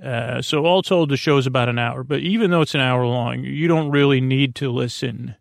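A man with a creaky, gravelly voice rambles through a bedtime podcast monologue, halting, repeating himself and trailing off as host. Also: medium pitch, dry recording, studio quality. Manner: meandering, halting, repetitive, trailing off.

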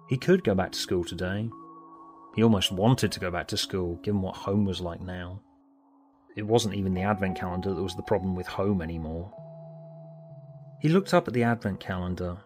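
A man reading scripted story narration as an audiobook narrator. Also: low voice. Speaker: author and narrator.

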